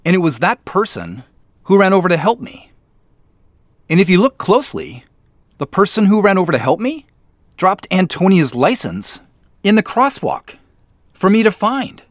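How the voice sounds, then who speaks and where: A man's voice: high-pitched, big pitch swings, moderate pace, long pauses, no room sound. A man playing a casual, probing detective in a naturalistic audio drama, dry and inquisitive. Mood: pointed, suspicious. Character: dry, inquisitive male detective working a case.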